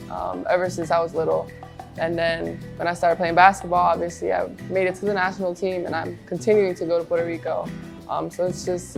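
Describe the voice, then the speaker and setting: A woman's voice: fairly low pitch, vocal fry. A young woman basketball player, Spanish native, talking in an interview with many ums, heartfelt. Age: young adult. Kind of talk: interview talk.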